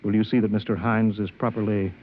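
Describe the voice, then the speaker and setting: An actor playing a smooth, sanctimonious political boss and blackmailer in a 1950s radio drama, pompous and insinuating with a false friendliness. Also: male voice, lowish voice, level tone, fairly quick, lightly acted. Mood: menacing, persuasive. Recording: muffled, hissy old radio broadcast.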